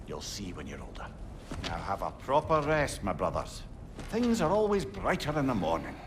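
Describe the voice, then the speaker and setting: Lilting, wry old companion. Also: male voice, on the low side, huge pitch swings, moderate pace. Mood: weary, fond. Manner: wry, wordy, avuncular. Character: wry, world-weary old male companion, wise and chatty. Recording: clear background noise behind voice.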